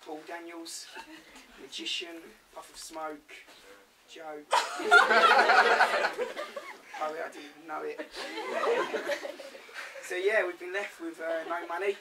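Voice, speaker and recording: A man's voice: a little high, slow, long pauses. A young man playing a brassy, joking mother as a pantomime dame, chatting with the audience on a small theatre stage. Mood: chatty. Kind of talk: school pantomime acting. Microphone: distant camera mic. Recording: distant, noisy stage recording.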